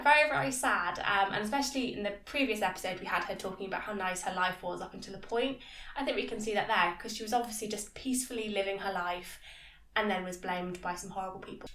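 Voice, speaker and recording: Woman, medium pitch, slightly nasal with a cold, chatty casual reviewer, echoey room, slightly distant microphone. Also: a bit bright. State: has a cold. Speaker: YouTube review host. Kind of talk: casual episode review to camera.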